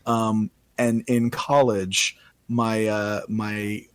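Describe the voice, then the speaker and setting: A man with a raspy voice, a singer-songwriter guest chatting casually on a podcast, often restarting his sentences. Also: lowish voice, level tone, drawn-out words, no room sound. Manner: rambling, self-correcting casual talk.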